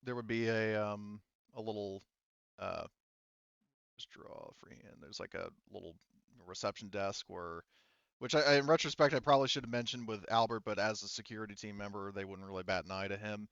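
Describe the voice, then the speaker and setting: A man's voice: lowish voice, creaky, fairly bright tone, smooth, dry recording. Dry, slightly dull-sounding man game master. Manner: halting, conversational, casual. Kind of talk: narrating a tabletop roleplaying game, improvised.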